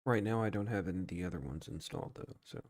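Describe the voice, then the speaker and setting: Man, lowish voice, game streamer, casual commentary, musing, thinking out loud. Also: quick pace. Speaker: live streamer playing a space game.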